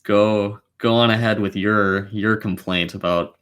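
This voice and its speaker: A man's voice: on the low side, steady tone, a little slow, a bit bright, smooth. A man hosting a gaming podcast, chatting casually with blunt, disgruntled opinions and plenty of swearing.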